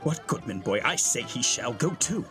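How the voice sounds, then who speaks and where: An actor playing an older, domineering, hot-tempered uncle in Shakespeare, imperious and blustering as master of the house. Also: male voice, medium pitch, sing-song, normal speed, music in the background, natural voice. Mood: angry scolding. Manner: blustering, imperious, swinging from scolding to jovial. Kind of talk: classical Shakespearean stage acting.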